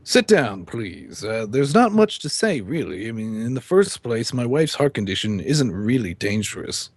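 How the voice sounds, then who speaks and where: An actor playing a stern, middle-aged judge and weary husband, speaking formally and with measured delivery in an old-time radio drama. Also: male voice, medium pitch, sing-song, no echo, clean studio sound. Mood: composed. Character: stern male judge, weary husband confiding his downfall. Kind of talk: old-time radio drama acting and first-person narration.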